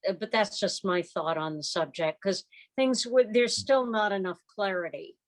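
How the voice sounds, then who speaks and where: A woman with a medium-pitched voice talks through points in a club meeting. She is measured and explanatory, speaking over a video call. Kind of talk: meeting discussion.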